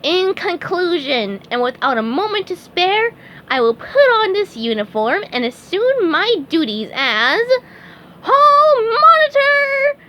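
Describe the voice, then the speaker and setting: A woman voicing an eager, excitable young male sea sponge who speechifies pompously in a storybook reading. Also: extremely high pitch, a little slow, voice pushed up, full character voice. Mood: pompous, zealous. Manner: pompous speechmaking, shouting with zeal. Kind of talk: storybook read-aloud.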